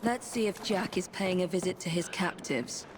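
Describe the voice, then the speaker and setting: A woman's voice: on the low side, normal speed, noisy background, lightly acted. An actress playing a brave, purposeful Victorian woman assassin in a video game, speaking politely with an English accent. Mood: determined. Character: brave, composed female Victorian assassin on a rescue. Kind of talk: video game character acting.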